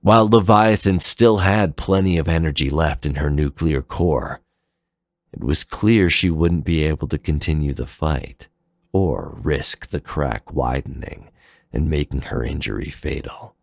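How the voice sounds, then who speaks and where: Very deep-voiced, slow man narrating a story. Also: gravelly, dry recording, clean studio sound. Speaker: narrator of fan fiction. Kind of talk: dramatic scripted story narration.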